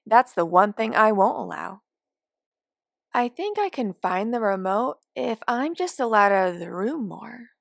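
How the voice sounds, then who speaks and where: Here is a woman performing a forgetful elderly woman in a care home, telling her own short story. She grumbles and rambles with wry indignation. Her pitch is middling for a woman, and the sound is dry, with no room to it. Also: sing-song, a little slow, vocal fry, dry recording. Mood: rambling, wry. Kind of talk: first-person dramatic monologue of short fiction.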